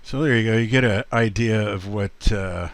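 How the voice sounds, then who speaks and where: A man giving a casual screen walkthrough in a halting, unhurried way, as a video presenter. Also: fairly low pitch.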